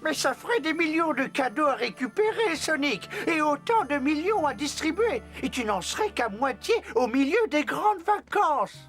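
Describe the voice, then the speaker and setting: Kindly, solemn elder for Christmas cartoon, high-pitched with sweeping intonation. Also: female voice, very animated, moderate pace, few pauses, soft background music. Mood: despairing. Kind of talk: cartoon dub acting.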